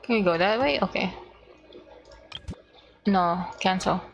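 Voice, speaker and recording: Slow, unhurried woman gamer thinking aloud. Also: mid-range voice, gravelly. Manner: muttering to self in short fragments. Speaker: livestreamer playing a video game. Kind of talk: casual gaming stream chat, thinking aloud.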